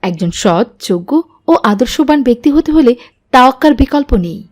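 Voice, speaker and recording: Pious, instructive cartoon teacher, played by a woman: higher-pitched, formal, earnest scripted delivery. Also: expressive, normal speed, darkish tone. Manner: formal, didactic. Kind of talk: scripted Islamic cartoon dialogue.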